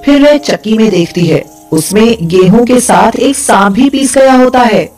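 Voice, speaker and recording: Lower-pitched woman storyteller over music. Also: animated, moderate pace, a little mellow. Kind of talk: scripted folk-tale narration.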